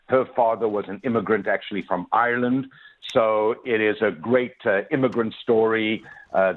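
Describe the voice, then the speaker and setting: A man in his sixties, a motivational speaker, talks about his own past on a radio show. His voice sits on the low side and comes through a thin, tinny phone line. He is earnest and pauses often on "uh". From South Africa. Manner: earnest, many "uh" fillers, addresses the host by name. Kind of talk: radio interview, personal storytelling.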